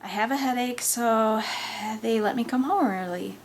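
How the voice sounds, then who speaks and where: A woman's voice: on the high side, normal speed, a little hiss or hum, lightly acted. A woman voicing a stern, suspicious, weary mother with a reproachful manner in a story reading.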